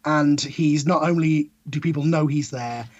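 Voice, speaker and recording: Male podcast guest, slightly high voice, sardonic, casual, joking commentary. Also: unhurried, a little mellow, highs cut off.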